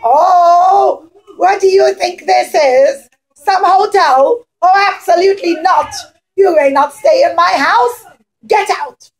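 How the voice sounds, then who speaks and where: A woman voicing a rude, indignant rich man in a storybook tale, haughty, with drawn-out exclamations. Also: extremely high pitch, voice pushed up, low-quality recording.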